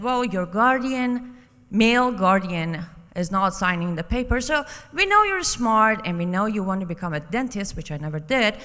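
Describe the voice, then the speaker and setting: A woman from Iran, a native Persian speaker, giving a personal testimony as a conference speaker, deliberate and emphatic with dramatic pauses. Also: medium pitch, sharp tone. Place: conference hall, public address. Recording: reverberant hall.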